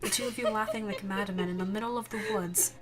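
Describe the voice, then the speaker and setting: A woman reading a scripted second-person ASMR story as narrator, in a soft, dreamy storytelling manner. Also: mid-range voice, fairly even intonation, quick pace. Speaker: narrator and voice actress. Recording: music under the narration. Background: instrumental music.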